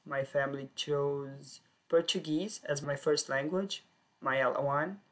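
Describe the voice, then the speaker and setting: A woman telling her own life story in plain, simple sentences with short pauses. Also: deep voice, steady tone. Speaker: personal storyteller. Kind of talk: first-person life story.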